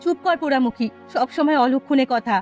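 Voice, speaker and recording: A high female voice of a village housewife and mother played by a woman. She is caring and worried, in cartoon storytelling style over quiet music. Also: fairly quick, dull audio, close to an everyday voice. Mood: scolding. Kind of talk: Bangla fairy tale cartoon voice acting.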